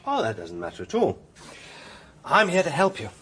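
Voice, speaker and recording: An actor playing a suave, confident, flirtatious male barrister from Ireland in a radio drama, smooth-talking and theatrical. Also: fairly high pitch, huge pitch swings, moderate pace, a bit bright, slight background noise. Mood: reassuring, courteous.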